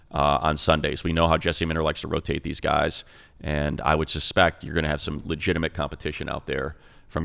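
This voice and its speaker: A man co-hosting a sports talk show with a very low, gravelly voice. He breaks down plays with energy and jumps in with quick jokes, sounding like the play-by-play announcer he is. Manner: energetic, quick to jump in and joke. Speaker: radio play-by-play announcer, co-host.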